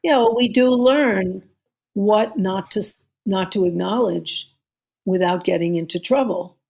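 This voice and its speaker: A woman co-hosting a podcast, speaking in a measured, explanatory way. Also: mid-range voice, creaky, a little mellow. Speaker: podcast co-host. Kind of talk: conversational podcast discussion.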